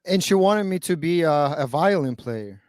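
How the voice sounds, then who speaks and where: A man's voice: on the high side, expressive, normal speed, a little mellow, no echo, studio quality. A man in his thirties from Kazakhstan whose first language is Russian, chatting casually and hesitantly on a stream, with many fillers and restarts. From Kazakhstan (then USSR). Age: 30s.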